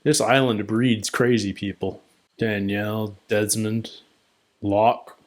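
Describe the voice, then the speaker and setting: A man's voice: on the low side, steady tone, slow. A man hosting a reaction video, casually thinking aloud with short asides and questions as he comments on a TV episode. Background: TV show soundtrack.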